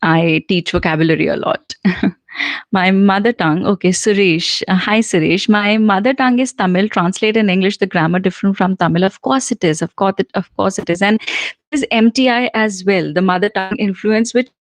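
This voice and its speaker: A woman's voice: mid-range voice, moderate pace, dry recording. Chatty Indian woman answering viewers live. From central India. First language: Punjabi. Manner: chatty, answers viewers' comments with frequent fillers and laughs. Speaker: host and soft skills trainer. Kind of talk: live stream Q&A.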